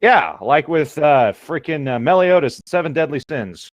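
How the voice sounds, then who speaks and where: A man with a slightly high, very lively voice chats casually in a dry recording. He is exclamatory and jokey, and repeats phrases to drive them home. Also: normal speed, no echo. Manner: animated, exclamatory, repeats himself for emphasis. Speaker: co-host reacting to a reading. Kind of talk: casual comedic banter.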